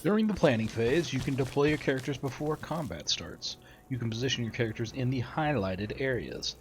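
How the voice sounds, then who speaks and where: A man with a medium-pitched voice hosts a gameplay video. He moves between casual commentary and reading tutorial instructions aloud. Faint game ambience and noise run behind him. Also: expressive, brisk.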